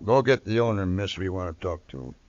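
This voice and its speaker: Low-voiced, brusque western stranger played by a man. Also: fairly quick, close to an everyday voice. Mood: brusque, dismissive. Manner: curt, rustic speech. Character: brusque, domineering out-of-town western stranger with money. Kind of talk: 1950s radio western acting. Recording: dull, dry old radio recording.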